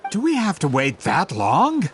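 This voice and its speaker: A man's voice: fairly high pitch, huge pitch swings, unhurried, a little hiss or hum. A man voicing a courteous, eager and persistent merchant in a game cutscene. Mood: polite. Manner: courteous, eager, slightly awkward. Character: polite eager male merchant, brisk and persistent.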